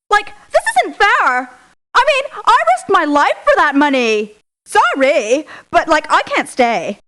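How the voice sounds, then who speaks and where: High-pitched whiny valley girl. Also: sharp tone, heavily acted. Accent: American Valley girl. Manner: ditzy, whiny, peppered with "like". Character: whiny, squeamish young valley girl mercenary. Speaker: video game character voice. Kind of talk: theatrical video game voice clips.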